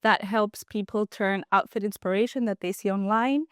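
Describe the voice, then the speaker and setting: A woman's voice: mid-range voice, steady tone, normal speed, smooth, no room sound, studio quality. A woman in her twenties from Cyprus, an app founder chatting casually as an interview guest and laughing easily. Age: 20s.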